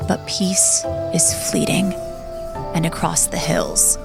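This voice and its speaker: A woman narrating a dramatic scripted story in a solemn, measured manner. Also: lowish voice, big pitch swings, gravelly, loud music behind the voice.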